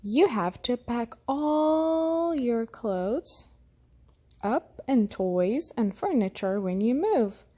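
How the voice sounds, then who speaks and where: Woman as an animated, encouraging tiger mom. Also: on the high side, drawn-out words, full character voice. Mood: explaining. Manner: cheerful, encouraging. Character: friendly, encouraging mother tiger.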